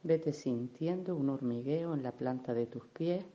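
A woman leading a guided meditation in a gentle, unhurried, soothing manner, with affectionate little diminutives. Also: very deep voice, lo-fi sound. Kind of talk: guided meditation, soft instruction.

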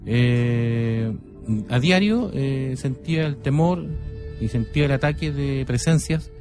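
A man with a Chilean accent telling stories unscripted on radio in a halting, deliberate way, repeating phrases. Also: medium pitch, over music. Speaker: radio co-host and storyteller.

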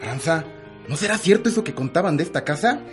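A woman's voice: low-pitched, expressive, quick pace, faint music behind. A woman with a Mexican accent playing a superstitious, gossipy household servant in a melodramatic radio soap opera. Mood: uneasy gossip.

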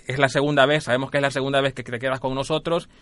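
A man's voice: mid-range voice, little pitch movement, racing, a bit bright, no room sound, close to an everyday voice. A man playing a polite, insistent hotel administrator on a prank call, officious and apologetic as he repeats himself. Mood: apologetically firm. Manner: officious, apologetic, repetitive, hesitant phrasing. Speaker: radio prank caller. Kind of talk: prank phone call, improvised role-play.

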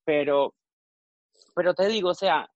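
A man's voice: on the high side, measured pace, a little mellow, no room sound, dull audio. A man in his forties from Costa Rica, a guest frankly telling his personal story, often trailing off and restarting. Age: 40s.

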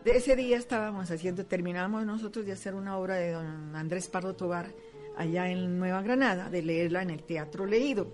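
An older woman with a Colombian accent telling anecdotes as an interviewee, animated, with halting repetitions and acted-out bits of dialogue. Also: lowish voice, soft background music. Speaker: interviewee, veteran radio actress. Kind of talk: spoken reminiscence, anecdote telling.

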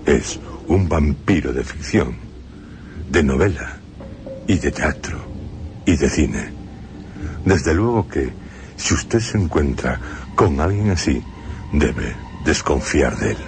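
Very deep, slow male host with a theatrical radio-drama delivery; clear noise under a broadcast recording. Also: lively intonation. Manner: measured, theatrical delivery with long pauses.

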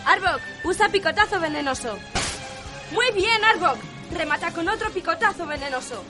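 A woman's voice: extremely high pitch, animated, normal speed, music in the background. A woman playing a bitter, bossy villainess in a comic radio play, melodramatic and commanding.

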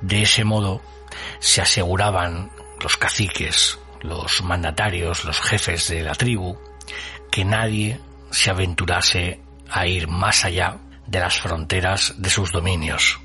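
A man hosting a radio show with scripted, atmospheric narration, solemn and deliberately phrased with dramatic pauses. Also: sits low, fairly even intonation, sharp tone, faint music behind.

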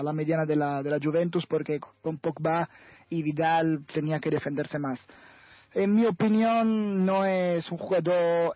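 Italian man in halting Spanish. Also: on the high side, dry recording. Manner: hesitant, searching for words, many fillers. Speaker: guest football commentator. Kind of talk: radio football analysis chat. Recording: dull, lo-fi radio sound.

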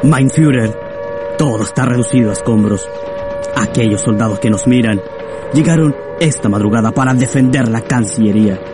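A man's voice: mid-range voice, normal speed, over loud music, lightly acted. Man playing an earnest, devoted army general. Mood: earnest, respectful. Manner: earnest, formal, deferential. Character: General Monke, loyal devoted military officer addressing his leader. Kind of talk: radio drama acting.

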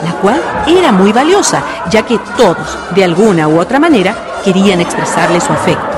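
A woman narrating a historical radio drama in a measured storytelling manner. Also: mid-range voice, very animated, a crowd in the background. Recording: radio narration over music. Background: background music.

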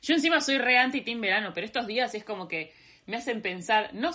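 Porteña in her 20s, bright tone, jokey and self-deprecating. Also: a little high, lively intonation, quick pace. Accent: Rioplatense Spanish. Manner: wry, self-deprecating, playful. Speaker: podcast co-host. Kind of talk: casual chat between friends.

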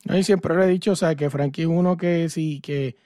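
A man interviewing a guest in a chatty, eager way, clipping his words casually. His voice is a touch high. The sound is dry and close, with no room echo. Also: steady tone, brisk, warm tone. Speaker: interviewer, host.

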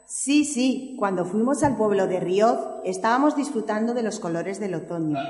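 Echoey hall, woman as excited child explorer, enthusiastic children's storytelling, medium pitch. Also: normal speed, natural voice. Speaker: preschool teacher. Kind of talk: children's audio story acting. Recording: echoey hall with long reverb.

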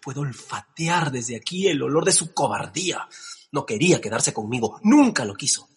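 A man playing a husky, melodramatic lovesick man. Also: medium pitch, big pitch swings, normal speed. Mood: agitated, self-pitying. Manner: theatrical, swinging between pleading and bitter sarcasm. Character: melodramatic lovesick man, self-pitying and excitable. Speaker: radio comedy actor. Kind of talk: radio comedy acting.

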